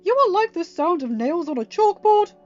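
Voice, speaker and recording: Over soft music in a slightly dull recording, a woman acts out a snobbish storybook boy. The voice is very high and forceful, sneering and whining with indignant self-importance. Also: big pitch swings, normal speed. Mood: outraged, sneering. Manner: haughty, shrill complaining.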